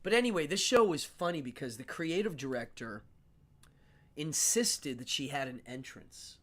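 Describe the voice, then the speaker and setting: Man, nasal, casual interview host, lively anecdotes, frequent laughs. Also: fairly high pitch, normal speed. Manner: animated storyteller, laughs often. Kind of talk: casual live-stream interview. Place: New York City.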